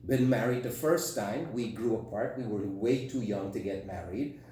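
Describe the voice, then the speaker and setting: A man's voice: fairly low pitch, normal speed, warm tone. A middle-aged man co-hosting a podcast as a relationship coach, talking in a frank, emphatic, opinionated conversational way. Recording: very echoey room, distant mic, faint hum.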